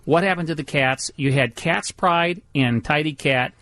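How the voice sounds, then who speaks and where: Slightly high-voiced man hosting radio. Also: measured pace, a bit bright. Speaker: radio show host. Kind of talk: live radio chat with sponsor guests.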